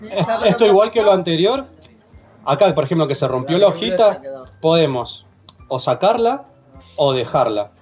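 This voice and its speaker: A man's voice: a little high, very animated, normal speed, a little mellow, faint music behind. A man with an Argentine accent leading a hands-on plant propagation workshop, patient and often checking in with the group. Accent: Argentine Spanish. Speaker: workshop instructor.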